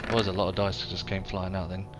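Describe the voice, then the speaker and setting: A man's voice: sits low, monotone, fast, heavy background noise. A man with a New Zealand accent running a live tabletop game as game master, loose and playful, punchy when he narrates big hits.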